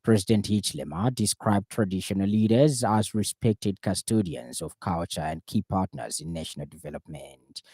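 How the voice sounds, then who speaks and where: A man with a Zambian accent reading a scripted radio news bulletin in a formal, measured manner. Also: fairly low pitch, mellow, no echo. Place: radio studio.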